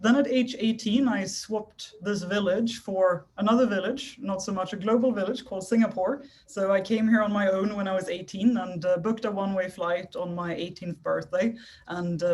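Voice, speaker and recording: A young woman from Sweden giving a friendly, chatty talk to a club meeting over a video call. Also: on the low side. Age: young adult. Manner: friendly, chatty, lightly self-deprecating. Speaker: guest speaker. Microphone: video call microphone.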